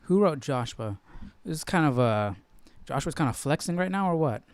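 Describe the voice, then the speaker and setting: A man's voice: on the high side, animated, normal speed. A man hosting a live study stream, giving casual, slangy, jokey commentary as he muses aloud.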